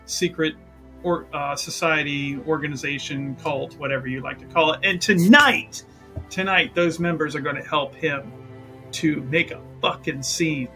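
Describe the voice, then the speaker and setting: A man's voice: a little high, moderate pace, over music. A man playing a tabletop game, casually introducing his character with a theatrical build-up and stumbling repetitions.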